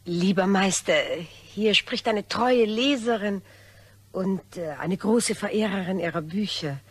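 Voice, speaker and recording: Woman acting a soft-spoken-polite lady blackmailer, bit husky, hesitant, crime radio drama. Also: mid-range voice, measured pace. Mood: sweetly menacing. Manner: coy, formally polite, hesitant. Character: polite, desperate female blackmailer, probing and apologetic.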